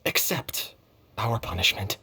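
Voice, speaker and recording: An actor playing a resigned, contrite young rule-breaker in a video game, speaking haltingly. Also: male voice, on the low side, expressive, bright, forceful tone, a little hiss or hum, exaggerated voice.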